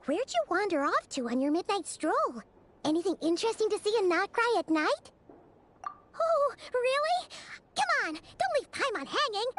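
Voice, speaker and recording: A woman voicing a tiny, chatty, excitable female companion in a video game cutscene, talking about herself in third person. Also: very high voice, slight background noise, heavily acted. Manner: refers to herself in third person, quick mood swings. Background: game soundtrack music.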